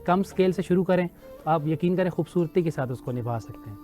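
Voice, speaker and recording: A man's voice: on the high side, lively intonation, fairly quick, mellow, soft background music. A man judging on a TV show, speaking in an encouraging, advisory way and repeating phrases for emphasis. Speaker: judge of a children's religious recitation contest. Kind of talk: spoken judging feedback on a TV show.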